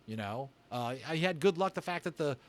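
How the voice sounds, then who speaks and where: A man's voice: on the high side, animated, brisk. A man reading study questions aloud in an informal, conversational way, adding his own thoughts as he goes. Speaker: voice actor and book reader hosting a read-aloud. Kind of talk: reading discussion questions aloud with casual asides.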